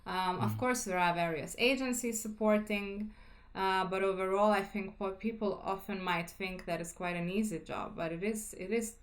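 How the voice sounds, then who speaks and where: A woman, a guest speaking about her communications work in a lively, upbeat way with many fillers over a video call. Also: mid-range voice, moderate pace. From Lithuania. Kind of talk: casual interview conversation.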